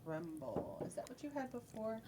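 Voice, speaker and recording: A woman's voice: on the high side, big pitch swings, moderate pace, heavy background noise. A woman working as game master, narrating and making rulings in a casual conversational way over background music. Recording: music playing behind the voice.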